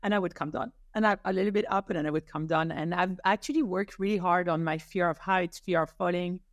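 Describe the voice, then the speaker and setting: A woman's voice: on the low side, quick pace, no room sound, clean studio sound. A middle-aged woman from France, a rheumatologist guest, answering enthusiastically with laughs and many restarts. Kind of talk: casual interview answers.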